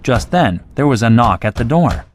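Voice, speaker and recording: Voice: medium pitch, sing-song, normal speed. A narrator reading a scripted children's story.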